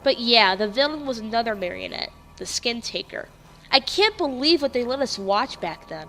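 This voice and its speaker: A woman's voice: a little high, expressive, normal speed, a bit bright, faint music behind, rough recording. A woman playing a forum poster who confidently recalls an old puppet show in a dramatic reading. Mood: matter-of-fact correcting.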